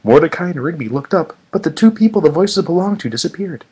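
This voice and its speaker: Animated man reading fan fiction, slightly husky. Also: fairly high pitch, fast talker. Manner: mock-serious, with sarcastic asides.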